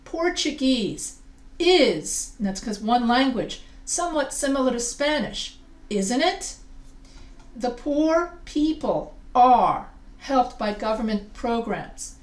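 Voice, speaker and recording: A woman's voice: a little high, expressive. Woman teacher, echoey distant grammar lecture. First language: not English. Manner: explains slowly, repeats and restarts phrases. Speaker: writing teacher. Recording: echoey room, a bit far from the mic.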